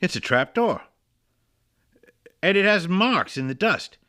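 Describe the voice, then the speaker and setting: A man's voice: sits high, very animated, takes it slowly, pushed and bright, heavily acted. A man playing an eager young amateur sleuth in a 1930s-style audio mystery. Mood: curious.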